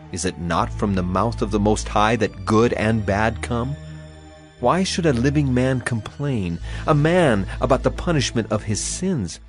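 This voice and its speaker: An actor playing a grieving, afflicted prophet in a dramatized scripture reading, with solemn, emphatic and deliberate phrasing. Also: male voice, lowish voice. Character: grieving, afflicted male prophet lamenting and praying.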